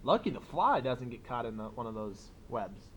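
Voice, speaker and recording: A man teaching young children, reading a picture book aloud expressively and pausing for simple explanatory asides. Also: medium pitch, sing-song, noisy background. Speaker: elementary school teacher.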